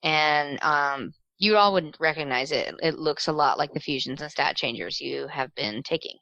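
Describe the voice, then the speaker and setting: Young woman running a tabletop rpg, lowish bright voice, kinda halting casual narration, dry sound. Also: dry recording, highs cut off. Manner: halting, casual scene description. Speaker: game master. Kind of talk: improvised tabletop game narration.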